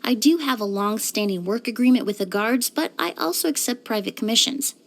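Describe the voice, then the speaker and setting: A woman playing a composed, courteous young woman perfumer in a video game, speaking in a polite, measured, softly formal way. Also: a little high, animated. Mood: matter-of-fact, composed. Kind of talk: scripted video game character lines.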